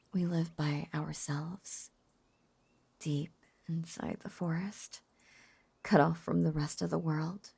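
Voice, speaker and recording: A woman playing a lovesick, possessive, lonely witch in an intimate audio roleplay, coaxing and halting in a breathy whisper. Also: deep voice, a little mellow, no room sound, heavily acted. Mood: wistful, confessional.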